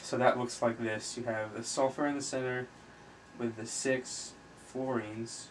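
Even-toned man tutoring over background noise. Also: medium pitch. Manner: halting, thinking aloud while writing. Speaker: chemistry tutor, video host. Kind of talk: informal explanatory tutorial. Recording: noisy amateur recording with room ambience.

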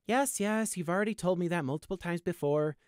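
A small, mouthy young pony prisoner acted out by a man in an audiobook tale. The voice leaps high and swoops about, flippant and needling, with a theatrical, mocking edge. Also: sing-song, moderate pace, darkish tone, no room sound, studio quality. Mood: sarcastic. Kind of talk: audiobook character acting.

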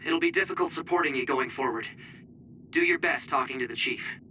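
A man's voice: mid-range voice, brisk, sharp tone, a little hiss or hum, rough recording. An actor playing a friendly young male support operator who talks in a reassuring way. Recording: game cutscene with music behind.

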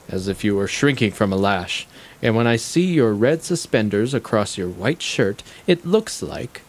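An actor playing a shrewd, needling intellectual in a stage play, speaking deliberately in formal, articulate, condescending sentences. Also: male voice, medium pitch, a little hiss or hum, close to an everyday voice. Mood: probing, observant. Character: shrewd, probing male intellectual, coolly needling his guest. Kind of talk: stage play acting, long monologues.

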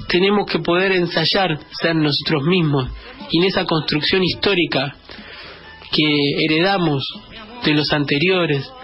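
A man with a Greater Buenos Aires Argentine accent, hosting a radio show with a reflective spoken editorial, repeating phrases for emphasis. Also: a little high. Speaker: radio host. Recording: dull band-limited radio sound, music behind.

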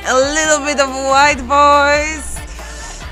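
A woman in her thirties from Poland, speaking with a Polish accent, holding a microphone in a cozy room and reacting to a song with excited exclamations and laughs. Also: sits high, drawn-out words, faint music behind. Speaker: YouTuber and music journalist. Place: cozy living room with fairy lights, indoors. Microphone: handheld microphone.

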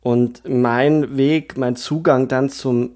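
A man's voice: mid-range voice, steady tone, slow, mellow. A man from Bavaria hosting a film podcast, talking thoughtfully with many fillers and restarts. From Bavaria, Germany. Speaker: podcast host. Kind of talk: casual film discussion.

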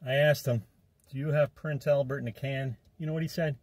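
A man's voice: mid-range voice, fairly even intonation, brisk, a little mellow, no room sound. A man in his fifties bantering in a car, telling a dry joking story. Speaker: vlogger's companion. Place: inside a car. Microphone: dashboard camera.

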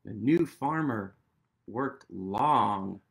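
A man in his thirties reading a picture book aloud to young children, gently and expressively, with pauses and questions. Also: lowish voice, expressive, creaky. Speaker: storytime reader.

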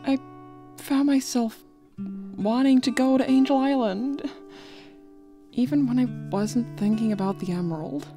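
A woman's voice: sits high, takes it slowly, pauses often, dark tone. Sultry, teasing bat lady played by a woman. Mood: exasperated. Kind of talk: comic dub voice acting. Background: music.

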